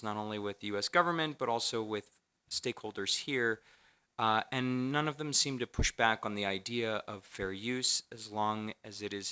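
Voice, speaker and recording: A man speaking for a government on a conference panel, measured and diplomatic. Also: fairly low pitch, pushed and bright, clean tone. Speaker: government trade official. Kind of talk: prepared remarks on a panel. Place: lecture hall at a conference.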